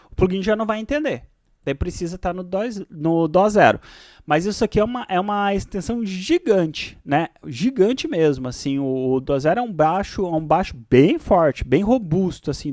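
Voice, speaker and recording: High-pitched, lively Brazilian man teaching. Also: dry recording, clean studio sound. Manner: explanatory, often checking understanding with tag questions. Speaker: music software instructor. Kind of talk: informal screen tutorial.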